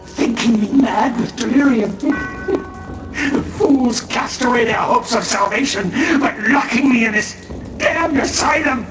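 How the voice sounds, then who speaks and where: A man's voice: very high voice, slow, roomy sound, recorded from a distance, low-quality recording. An actor playing an embittered man locked in an asylum, delivering a declamatory, anguished horror-game monologue. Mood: bitter, feverish. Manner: declamatory, anguished, swinging from hushed dread to shrieking. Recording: music behind the voice.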